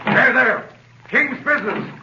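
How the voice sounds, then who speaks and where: An officer hailing boatmen with very high, shouted orders. It is vintage radio drama, echoey and noisy. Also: male voice, animated, measured pace. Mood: urgent, commanding. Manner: shouted commands. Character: bellowing officer hailing boatmen on king's business. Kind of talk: 1930s radio drama acting. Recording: echoey, noisy, muffled 1938 radio broadcast.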